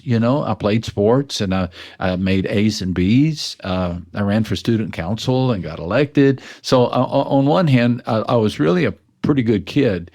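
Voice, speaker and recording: A man with a low, deep voice, talking as a pastor in an interview. He tells his story in an earnest, self-deprecating way, restarting his sentences as he thinks. The feel is relaxed, reflective conversation. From West Texas. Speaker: pastor and author, interview guest.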